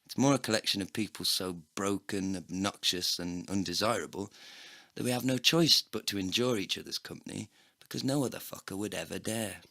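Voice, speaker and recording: Man acting bitter heartbroken guy narrating his own misery, deep voice with a hard edge, deadpan wordy audio play style. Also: brisk, vocal fry, no echo, heavily acted. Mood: bitter, wry. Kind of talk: scripted first-person comedy narration.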